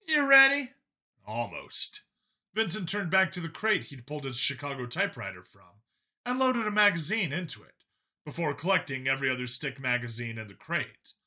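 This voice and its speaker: A man narrates a story as an audiobook reader. His voice is very bright and forceful, set fairly high, with lively movement in pitch. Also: brisk.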